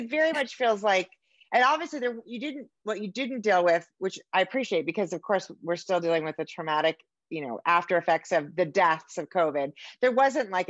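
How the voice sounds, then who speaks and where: A woman's voice: mid-range voice, no room sound, lo-fi sound. A woman in her forties hosting a conversational author interview over a video call. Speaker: interviewer host. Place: home room with bookshelves, indoors.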